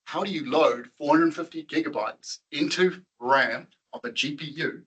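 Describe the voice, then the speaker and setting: A man's voice: a little high, normal speed, a bit bright, husky, poor audio. A man presenting at a tech meetup, chatting casually with the audience, quizzing them and laughing often.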